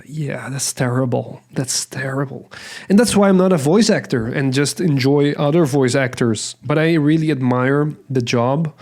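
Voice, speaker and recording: A man's voice: medium pitch, darkish tone. A man hosting a casual solo podcast, rambling in a self-deprecating manner. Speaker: podcast host.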